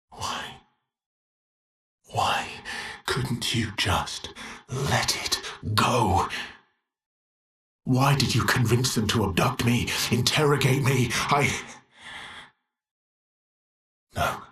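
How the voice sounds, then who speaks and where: An actor playing an anguished, guilt-ridden man in a dramatic video game, halting and breathy, often sinking to a whisper. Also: on the low side, fairly bright tone, roomy sound. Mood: hurt, frustrated. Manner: halting, intense, confessional. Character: anguished, guilt-ridden man desperate to save his family.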